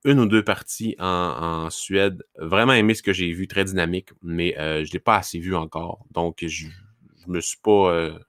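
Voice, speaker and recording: Quebecois guy from lac-saint-jean, low voice, rambles a lot with lots of "euh", dead dry sound. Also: normal speed, a bit bright, dry recording. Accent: Québécois French, Lac-Saint-Jean. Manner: rambling, many fillers and restarts, laughs at himself. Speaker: podcast host, hockey scout. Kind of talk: casual solo podcast talk.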